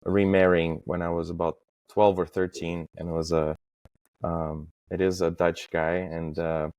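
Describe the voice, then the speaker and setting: Low-voiced man, very even in pitch. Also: unhurried, no room sound. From Estonia. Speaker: podcast guest, facade industry professional. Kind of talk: casual interview, recounting career.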